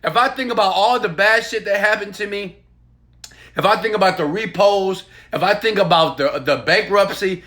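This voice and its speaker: A man giving an emphatic, insistent motivational sales pitch, speaking straight to the viewer as an online marketer. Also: sharp tone.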